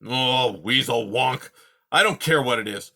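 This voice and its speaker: A man's voice: mid-range voice, measured pace, bright, forceful tone. A man voicing a grumpy, scornful old male porcupine who growls and insults, in a storybook reading. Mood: scornful gruff.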